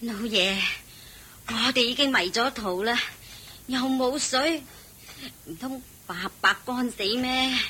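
A devout, practical village mother for a radio play. Her voice is a little high and forceful, with a rough husk. She speaks respectfully and with earnest persistence. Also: slow pace, full character voice. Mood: earnest, weary. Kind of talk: Cantonese radio drama acting.

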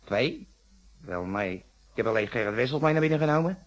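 An actor playing a cagey, grumbling, brusque working-class husband in a radio detective play, in colloquial, defensive Netherlands Dutch. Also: male voice, medium pitch, lively intonation, a little fast, muffled recording, close to an everyday voice.

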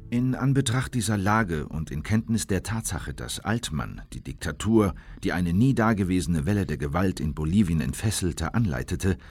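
A man playing a Bolivian who recounts a clandestine operation, measured and earnest, in a scripted radio drama. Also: sits low, animated, darkish tone, breathy edge, no room sound, soft background music, heavily acted.

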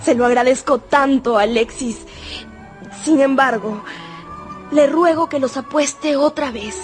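A woman's voice: sits high, unhurried, faint music behind. An actress playing a proud young aristocratic lady in a radio drama, formal and imploring. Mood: pleading. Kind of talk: Spanish-language radio drama acting.

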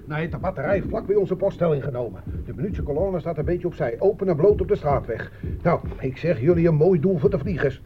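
An actor playing a seasoned, sardonic soldier in a war radio play, colloquial and wry. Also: male voice, on the high side, expressive, fairly quick, close to an everyday voice. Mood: wry, restless. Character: seasoned, sardonic male soldier, an old hand. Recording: muffled old radio recording, clear noise.

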